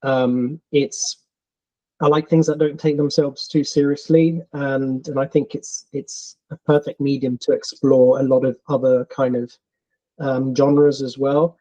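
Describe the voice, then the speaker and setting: A man's voice: mid-range voice, flat delivery, measured pace, dark tone. Hesitant London-accented man on a dull call. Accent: London English. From England. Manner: hesitant, many ums and restarts. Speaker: filmmaker guest. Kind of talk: casual interview answers.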